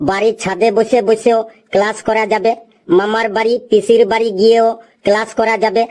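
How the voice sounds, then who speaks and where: A woman's voice: fairly high pitch, flat delivery, fairly quick, a little mellow, close to an everyday voice. A woman voicing a cheeky, literal-minded schoolboy in a comedy cartoon, answering back in a matter-of-fact way. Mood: cheeky pleading.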